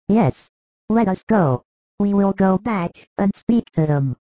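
Man doing a fiery young apostle, high-pitched. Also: dry recording, close to an everyday voice. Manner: heated, rallying, combative. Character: fiery young male apostle, fierce and eager. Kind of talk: audiobook dialogue reading. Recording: dull lo-fi dry recording.

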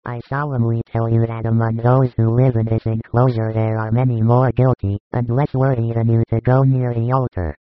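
A man voicing a compassionate, authoritative male spiritual teacher who speaks in a solemn, measured, reassuring way. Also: mid-range voice, level tone, dry recording, phone-quality sound, soft background music, close to an everyday voice. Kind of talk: audiobook reading of a religious narrative.

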